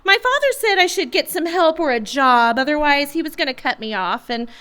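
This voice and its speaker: A woman's voice: high voice, lively intonation, a little fast, pushed and bright. A woman playing a spoiled young heiress villainess in a noir radio play, sarcastic and gloating in a singsong way. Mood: sarcastic, smug.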